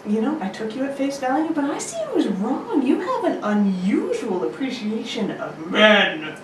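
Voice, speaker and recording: Woman doing a bossy winged king, mock grand, bouncy pitch, roomy far mic. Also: sing-song, moderate pace, background noise. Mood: boastful. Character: boastful male winged king, commanding. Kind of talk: comic table read of an old radio serial.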